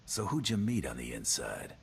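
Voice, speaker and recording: A tough team leader from a video game, speaking in a rumbling, very deep bass with lively inflection. His delivery is clipped, dry and sardonic, quietly threatening. There is faint noise in the background. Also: moderate pace, creaky, full character voice. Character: hard-bitten male team leader, dryly threatening tough guy. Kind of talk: video game character acting.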